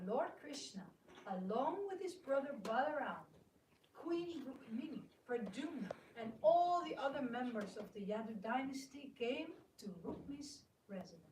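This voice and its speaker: A woman narrating a scripted story in measured, dramatic phrases as part of a dramatic reading. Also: on the high side. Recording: echoey room, distant mic, clear noise.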